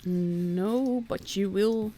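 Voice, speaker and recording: A woman's voice: medium pitch, drawn-out words, mellow, faint music behind. A woman with a Dutch accent giving casual, chatty live commentary on a game as a stream host. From Netherlands. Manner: chatty, informal, reacting as play unfolds.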